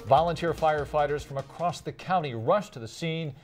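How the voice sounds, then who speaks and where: A man's voice: on the high side, brisk, soft background music. A man with an American accent reading scripted local television news as an anchor.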